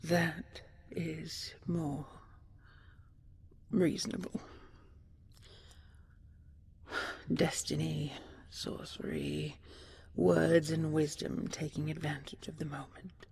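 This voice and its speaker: A woman playing an ancient dragon queen in an intimate sleep roleplay, tender, regal and wistful, speaking softly and breathily in slow, stately phrases, often half-whispering. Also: deep voice, level tone, creaky, breathy edge, exaggerated voice. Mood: rueful, measured. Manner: slow, measured, stately, with lingering pauses.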